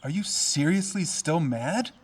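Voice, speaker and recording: A man playing a sardonic, irritable American high school boy in an audio drama, talking casually and sarcastically. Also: expressive, a little slow, husky.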